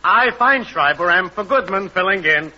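Brief: a puffed-up impostor bandleader, high voice, garbled grammar, bright tone; dull old radio broadcast with faint hiss. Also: male voice, expressive, moderate pace, heavily acted. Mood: pompous. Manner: garbled word order, self-important. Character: pompous male impostor bandleader, mangled grammar. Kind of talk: 1940s radio comedy acting.

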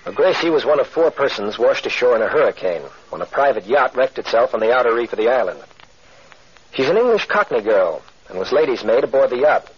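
An actor playing a brave, steady male adventurer captain who speaks firm and matter-of-fact, on an old radio recording. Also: medium pitch, fairly quick, slightly rough. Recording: muffled old radio broadcast.

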